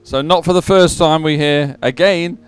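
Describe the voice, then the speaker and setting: Clear-voiced male sports commentator. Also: fairly high pitch, steady tone, moderate pace, dry recording, soft background music. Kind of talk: live event commentary and results announcing.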